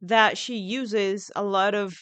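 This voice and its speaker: A woman with an Italian accent hosts a music reaction in a clean, smooth voice. She speaks casually and with enthusiasm, repeating words as she works out her thoughts. The sound is dry and close. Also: mid-range voice, steady tone, fairly bright tone, dry recording. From Italy. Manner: enthusiastic, halting, repeats words while thinking. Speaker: music reaction video host.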